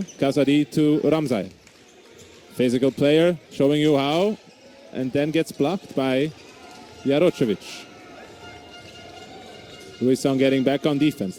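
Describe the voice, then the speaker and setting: A man's voice: medium pitch, dark tone. A man with a Swiss German accent, a non-native English speaker, giving live basketball play-by-play with frequent hesitations and restarts. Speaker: basketball commentator.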